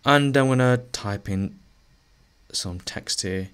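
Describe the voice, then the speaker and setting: A male teacher narrating a screen-recorded lesson, a little hoarse as he is losing his voice, talking through each step with fillers and restarts. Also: lowish voice, slow pace. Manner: relaxed, thinking aloud with fillers and restarts.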